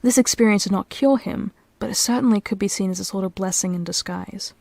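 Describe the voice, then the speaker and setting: A woman's voice: medium pitch, fairly quick, gravelly, a little mellow, no room sound. A woman reading scripted storytelling narration for an audio roleplay.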